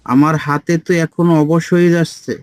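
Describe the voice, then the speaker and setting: A man's voice: a little high, level tone, moderate pace, slight background noise, lightly acted. A man voicing a complaining, easily outraged old grandfather in a village comedy cartoon. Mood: grumbling. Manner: complaining, exclamatory.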